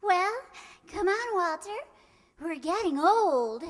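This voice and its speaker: A woman's voice: extremely high pitch, expressive, slow pace, heavily acted. A woman playing a coy, sweet, lovestruck teenage girl in old-time radio comedy style. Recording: reverberant hall recording.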